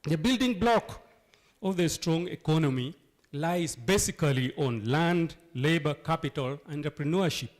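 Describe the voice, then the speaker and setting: A hoarse man from Uganda, sick with a cold, speaking emphatically at a town hall meeting and asking rhetorical questions. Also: on the high side, measured pace. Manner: emphatic, rhetorical questions, repeats key phrases. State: hoarse from flu and cough. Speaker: local leader addressing a town hall.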